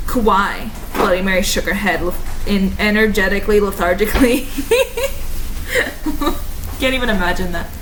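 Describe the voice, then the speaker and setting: A woman's voice: medium pitch, normal speed, pauses often. A young adult woman reading fan fiction aloud in an amused, casual way, stumbling over the text and breaking off to comment. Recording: clearly audible background noise.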